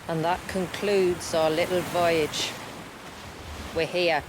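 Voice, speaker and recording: An actress voicing a confident, wry village guide in a video game, with an assured, teasing storyteller's manner. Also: female voice, lowish voice, fairly even intonation, slow, noisy background. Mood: playful, assured. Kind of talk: dramatic video game acting.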